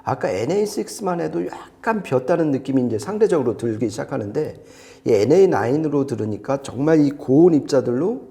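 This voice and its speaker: A man's voice: mid-range voice, expressive, brisk, vocal fry, dark tone. A man chatting through a product review in an enthusiastic, emphatic way. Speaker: audio gear reviewer on a shop's video show.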